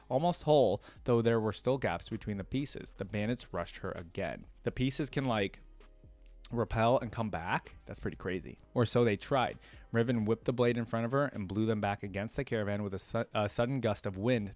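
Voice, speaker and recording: A man with a fairly low voice works through a fantasy story aloud as a reaction host. His delivery is informal, shifting between plain narration and casual commentary, often checking in with small tag questions. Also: a little mellow, no echo.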